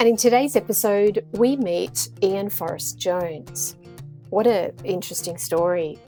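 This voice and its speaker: Earnest low-pitched female podcast host. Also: a little mellow. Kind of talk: podcast hosting and interviewing.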